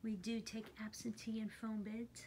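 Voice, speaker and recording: A woman with a fairly high voice gives a casual, unscripted sales walk-through as an auction house presenter. She is recorded on a handheld phone in a big indoor warehouse, with noticeable background noise around her. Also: level tone, moderate pace, dark tone. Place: large furniture warehouse, indoors. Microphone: handheld phone camera, speaker behind it.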